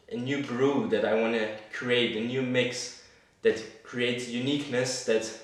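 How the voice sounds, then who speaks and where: A man's voice: medium pitch, a bit bright. A man whose first language is Swiss German, speaking English in a thoughtful monologue about his personal brand and often searching for words. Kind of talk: reflective talking-head monologue. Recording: echoey room, mic at a distance.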